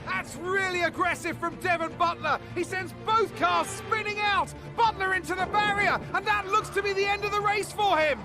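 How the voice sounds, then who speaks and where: High-pitched excited race commentator. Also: male voice, moderate pace, few pauses, music in the background. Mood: excited, urgent. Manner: dramatic, urgent, emphatic. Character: excited male Formula One race commentator. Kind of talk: live motorsport television commentary.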